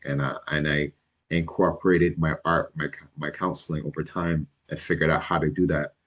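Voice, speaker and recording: Middle-aged man, extremely low voice, relaxed and hesitant, lots of ums. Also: muffled recording. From Barbados. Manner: halting, many ums and restarts, relaxed. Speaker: guest, licensed mental health counselor. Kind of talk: casual interview answers.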